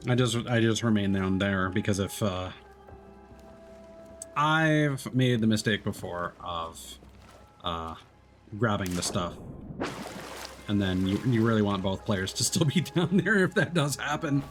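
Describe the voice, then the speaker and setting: A man's voice: on the low side, lively intonation, measured pace, pauses often, faint music behind. A man streaming a game, explaining steps to a co-player with many fillers. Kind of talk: casual game chat. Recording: noisy stream audio.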